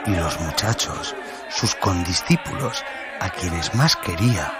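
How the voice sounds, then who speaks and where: A man with a low voice narrates a scripted dramatic story. He works as the storyteller of a radio play, and loud music runs behind his words. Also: animated, slow, a crowd in the background. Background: loud music bed.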